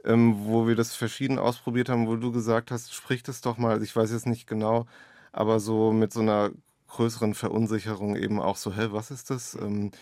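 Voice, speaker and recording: A man's voice: fairly low pitch, little pitch movement, brisk, darkish tone, dry recording, clean studio sound. A man speaking as an author in an interview, reflecting in a searching, winding way on his own writing. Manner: tentative, searching, winding sentences.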